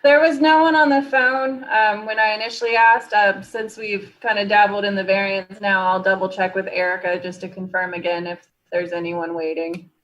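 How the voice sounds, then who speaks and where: A woman speaks as a staff member in a public meeting. She clarifies procedure in an official manner, with a voice of middling pitch. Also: fairly even intonation, normal speed, few pauses, fairly bright tone, muffled recording. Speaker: zoning board staff member.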